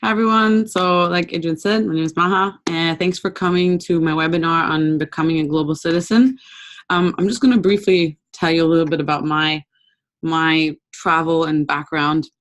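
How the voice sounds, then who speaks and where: Young woman giving a relaxed webinar talk. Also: low voice, normal speed. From Brazil. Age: young adult. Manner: relaxed, conversational, with frequent ums. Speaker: webinar presenter. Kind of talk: informal talk with slides.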